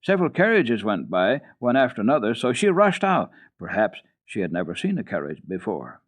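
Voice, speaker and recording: A man narrating children's literature as a radio storyteller, reading dramatically in an expressive, old-fashioned way. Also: fairly low pitch, fairly quick. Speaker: storyteller, radio program host.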